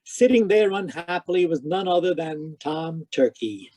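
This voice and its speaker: An actor playing a wry, deadpan private detective, talking and narrating in an old-time radio drama. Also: male voice, on the high side, measured pace, no echo. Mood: wry narration. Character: wry hard-boiled private detective, Sam Spade. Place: live online performance over video call.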